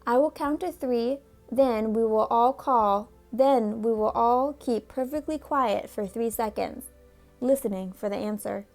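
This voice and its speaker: A stern, fussy storybook father gives orders firmly and deliberately, voiced by a woman reading aloud. He stays in a fairly high register, and soft music plays underneath. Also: gravelly. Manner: firm, commanding, slow and deliberate.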